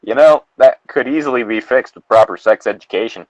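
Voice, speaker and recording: A man's voice: medium pitch, brisk, darkish tone, no room sound, low-quality recording. A man co-hosting in casual banter, reading a story aloud and mocking it with wry, crude jokes. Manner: wry, irreverent, crude joking. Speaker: host of a comedic fan-fiction reading.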